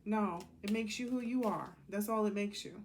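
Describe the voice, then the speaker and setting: A woman's voice: medium pitch, normal speed. Sassy American woman over background noise. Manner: sassy, blunt, colloquial. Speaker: tarot card reader. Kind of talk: casual, rambling tarot reading monologue.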